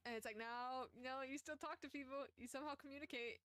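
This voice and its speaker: A woman's voice: sits high, steady tone, quick pace, fairly bright tone, clean tone, no room sound. A young adult woman streamer chatting casually while playing a visual novel, rambling with many fillers, self-conscious, laughing now and then. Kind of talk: casual let's-play commentary.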